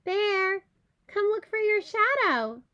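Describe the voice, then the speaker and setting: A frustrated, under-the-weather male groundhog voiced by a woman in a children's storybook. The voice is high and swings widely as he calls out expressive instructions. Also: takes it slowly, muffled recording, full character voice. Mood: instructing. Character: sick, frustrated male groundhog seeking a stand-in.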